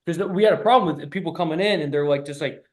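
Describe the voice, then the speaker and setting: This is a man with a fairly high-pitched voice talking like a business coach on an informal call. He is blunt and swears freely. His delivery is emphatic and choppy, often restarting and repeating phrases. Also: fast talker, a little mellow. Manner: blunt, profane; emphatic, repetitive stop-start delivery.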